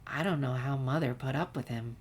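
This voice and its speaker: A woman's voice: a bass voice, a little fast, breathy edge, voice pushed down. A woman voicing a weary, gray-haired grandmother in a story, sighing and complaining. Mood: grumbling. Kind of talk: storybook narration character voice.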